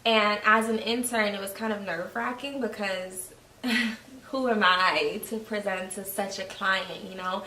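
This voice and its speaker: A woman's voice: mid-range voice, steady tone, a little slow, sharp tone, slight background noise. New York woman in her twenties, roomy sound. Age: 20s. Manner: candid, laughs easily. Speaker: host. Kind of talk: casual conversation. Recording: roomy, mic at a distance.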